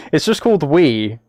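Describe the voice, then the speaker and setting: A man's voice: a little high, darkish tone, no room sound. Man chatting casually on a dry-sounding live stream. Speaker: live streamer, host reading chat. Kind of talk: casual live stream chat, reacting to videos.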